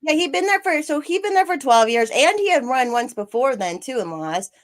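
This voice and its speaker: A woman's voice: high-pitched, sing-song, fairly quick. Chatty woman host, rambling and swearing. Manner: rambling, swears freely, laughs often. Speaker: podcast host, former city council candidate. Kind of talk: casual livestream chat.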